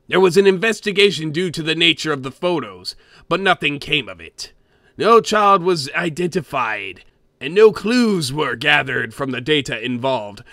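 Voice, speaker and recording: A man voicing a greedy, grumpy cartoon crab boss who reads a horror story in a theatrical, expressive way. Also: a little high, dry recording, clean studio sound, heavily acted. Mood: storytelling. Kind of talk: dramatic creepypasta reading.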